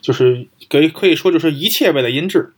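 语速很快、音调偏高的男声. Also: male voice, a little high, quick pace, a little mellow, breathy edge. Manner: repeats words while forming points. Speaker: podcast co-host. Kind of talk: casual discussion of audio gear.

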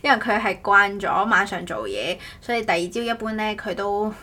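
A woman co-hosting a casual podcast, telling stories in an animated, rambling way. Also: medium pitch, moderate pace. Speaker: podcast co-host. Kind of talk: casual chat.

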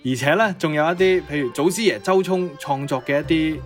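Guy podcast co-host, slightly high voice, chatty n exclamatory, bit of music behind. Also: a little fast. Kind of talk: conversational music-history commentary.

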